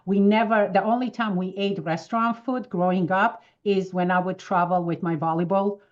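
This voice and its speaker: A woman in her fifties from Armenia, speaking English with an Armenian accent, telling lively stories as an interview guest. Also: mid-range voice, normal speed, highs cut off. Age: 50s. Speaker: wellness expert guest. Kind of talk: casual interview answers.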